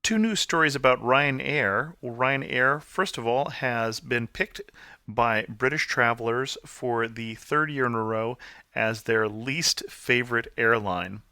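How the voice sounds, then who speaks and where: A man hosting a podcast, presenting and interviewing in a clear scripted-radio style. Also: medium pitch, bright, forceful tone, dry recording. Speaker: podcast host.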